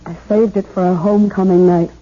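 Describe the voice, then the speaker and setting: A woman's voice: medium pitch, level tone, brisk, dark tone, natural voice. A woman playing an anxious, chatty young newlywed bride in an earnest, theatrical 1970s radio drama style. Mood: happy, affectionate. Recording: dull 1970s radio broadcast.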